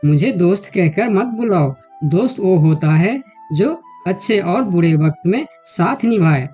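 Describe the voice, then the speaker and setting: Woman as sensitive male deer, children's cartoon, mellow low voice, reproachful friend. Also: lively intonation, unhurried, soft background music. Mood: angry, hurt. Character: hurt, angry male deer, later grateful friend. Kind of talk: children's cartoon story dialogue.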